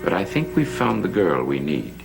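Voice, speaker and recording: A suave, scheming gentleman of a gothic soap opera. His voice is low and swings widely in pitch, formal and theatrical. Music plays under the old TV soundtrack. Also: very animated, normal speed, creaky, heavily acted. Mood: urgent, secretive. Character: courteous, insistent, scheming gentleman of a gothic household.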